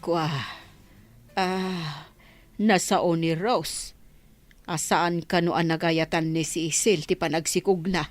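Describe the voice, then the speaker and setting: A woman's voice: on the low side, fairly even intonation, takes it slowly, pauses often, no echo. A woman acting a stern, indignant older mother in an Ilocano radio drama. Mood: grave.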